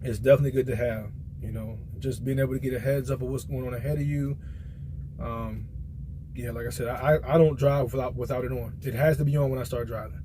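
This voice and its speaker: A man's voice: mid-range voice, steady tone, fast, dark tone, a little hiss or hum, rough recording. A man talking casually and colloquially, like a truck driver giving a rambling, conversational gear review in a vlog.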